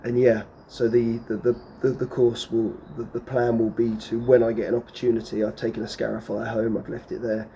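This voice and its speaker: Hoarse, flat-toned man vlogging outdoors. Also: mid-range voice, brisk, few pauses, slight background noise, low-quality recording. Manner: rambling, lots of fillers and restarts. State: hoarse, losing his voice. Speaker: lawn care vlogger. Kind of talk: casual vlog talk to camera.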